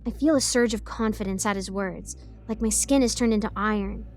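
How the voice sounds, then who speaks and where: A woman voicing an excitable, defiant ten-year-old girl who tells her own story with rambling, indignant asides. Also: mid-range voice, brisk, faint music behind, lightly acted. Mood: rambling, bemused. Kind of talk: first-person scripted story narration.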